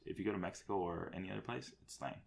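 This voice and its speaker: A man's voice: low-pitched, racing, vocal fry. A young man whose first language is Spanish, co-hosting a casual podcast with blunt, teasing remarks. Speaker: podcast co-host. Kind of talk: casual chat.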